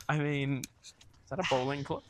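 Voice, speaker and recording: A man's voice: a little high, lively intonation, unhurried, noisy background. A man with an Australian accent chatting casually as a podcast co-host, wry and playfully teasing, out on a walk. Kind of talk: casual chat. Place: outdoors, walking along a small-town street.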